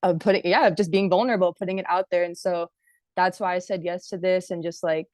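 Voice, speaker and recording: A woman's voice: on the low side, fairly even intonation, fast talker, darkish tone, dry recording, studio quality. A woman from Hawaii chatting casually on a video call, using lots of filler words and laughing now and then. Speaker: course participant.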